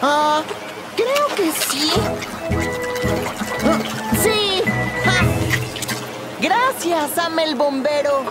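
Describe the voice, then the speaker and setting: A woman voicing an excitable, argumentative young boy in a Latin American Spanish cartoon dub, whiny and insistent. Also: extremely high pitch, drawn-out words, pauses often, full character voice. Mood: anxious. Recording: loud music behind the voice.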